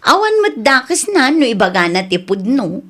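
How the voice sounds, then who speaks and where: A woman plays a gossipy young friend in an Ilocano radio drama. Her fairly high voice swings animatedly in pitch and carries an Ilocano accent. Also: very animated, normal speed, a bit bright. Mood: sympathetic. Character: young female friend, gossipy truth-teller.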